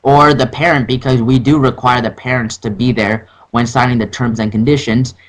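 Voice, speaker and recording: A man's voice: medium pitch, fairly even intonation, normal speed. A young man on a phone call, arguing in an official customer-support manner, insistent and protesting. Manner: insistent, protesting, repeatedly saying sir. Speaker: prank caller posing as game support. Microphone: telephone or call software.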